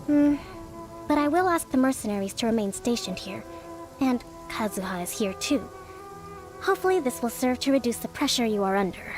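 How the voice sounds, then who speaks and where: An actress playing a composed, earnest young resistance leader in a video game, speaking in a measured, formal and courteous manner. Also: female voice, a little high, expressive, over music, lightly acted, poor audio.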